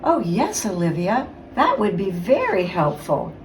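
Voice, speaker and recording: Lady doing a kindly storybook pig mom, sweet and encouraging, slow, roomy sound. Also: mid-range voice, wildly swooping pitch. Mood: delighted. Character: kindly, doting mother pig. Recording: roomy, distant mic, faint noise.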